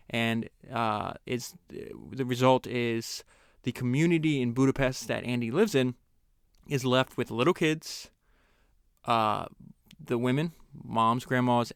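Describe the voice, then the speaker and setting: Smooth-voiced man reading, earnest and measured. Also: medium pitch, dry recording, studio quality. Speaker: podcast host. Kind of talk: reading a book excerpt aloud and commenting on it.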